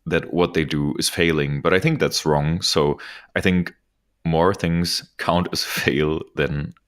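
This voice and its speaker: Very deep-voiced man cohosting a podcast. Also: fairly even intonation, a little slow. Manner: joking, laughs often. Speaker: podcast co-host. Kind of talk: casual podcast chat. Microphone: podcast microphone over video call.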